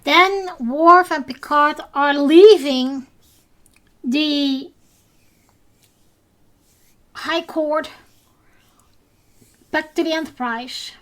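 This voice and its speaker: A woman with a Dutch accent, a native Dutch speaker, hosting a solo podcast recap, unhurried and hesitant with frequent ums and restarts. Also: high-pitched, a bit bright. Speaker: podcast host.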